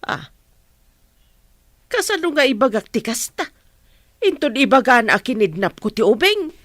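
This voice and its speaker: An actress playing a middle-aged village mother in a radio drama, worried, questioning and expressive. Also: a little high, unhurried, no room sound, full character voice. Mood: defensive, alarmed.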